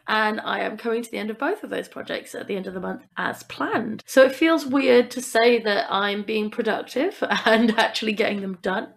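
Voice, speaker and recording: A woman's voice: a little high, creaky, a bit bright. A woman chatting casually and informally as a podcast co-host.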